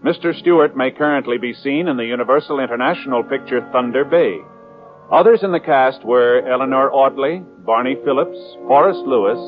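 Formal male announcer on tinny vintage radio. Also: mid-range voice. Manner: formal, measured announcer delivery. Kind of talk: 1950s radio announcing, scripted.

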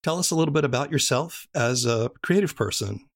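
A man's voice: mid-range voice, animated, brisk, vocal fry. A man hosting a podcast, reading scripted introductions in a narrator's style. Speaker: podcast host.

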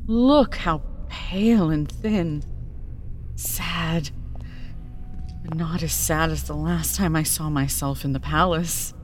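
An actress playing a bitter, grieving former slave and royal lover in an audio drama, speaking haltingly and with anguish. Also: female voice, deep voice, a little hiss or hum. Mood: bleak, bitter.

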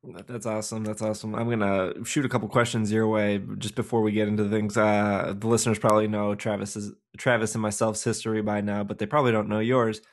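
A man's voice: on the low side, flat delivery, quick pace, hardly any pauses. Scratchy-voiced male podcast host. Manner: self-deprecating, stumbling restarts. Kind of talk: casual podcast chat. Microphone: home podcast microphone.

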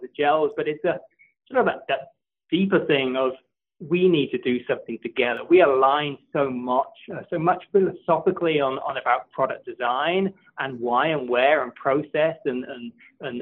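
A middle-aged man, a company co-founder, talking casually in an interview, rambling and repeating words with frequent laughs. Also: on the high side. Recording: tinny phone-like line.

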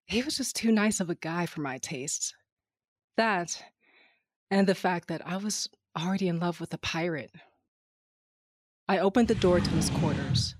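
A woman's voice: low voice, dry recording, clean studio sound. A woman playing a brash, cynical smuggler captain, narrating an audio drama in a wry, flippant first person. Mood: wry, smug.

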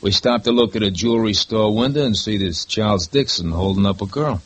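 An actor playing a mocking, domineering husband in a 1950s radio drama, talking with a sarcastic edge. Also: male voice, fairly low pitch, a little fast, husky. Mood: sneering. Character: sneering, bullying husband and witness.

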